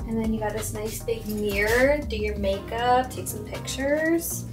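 A woman's voice: on the high side, unhurried. A young woman showing off a cruise ship cabin in a bubbly, casual vlog, filmed on a handheld camera. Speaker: vlogger. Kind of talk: casual travel vlog chat. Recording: background music over the voice.

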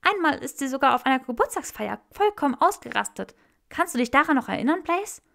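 A gossipy young male rebel who keeps blurting things out, with a voice pitched far above the reader's own, as a woman puts him on. Lively and eager, with a gravelly edge, he jumps between high excited bursts in this storybook reading. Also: fairly quick, heavily acted. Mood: eager gossiping.

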